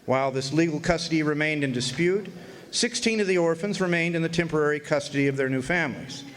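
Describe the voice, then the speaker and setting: A man's voice: fairly high pitch, fairly bright tone, lightly acted. An actor playing a wry, folksy older man who narrates his Arizona orphan boyhood in a radio drama. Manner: folksy, wry, drawn-out storytelling. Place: live stage performance in a hotel.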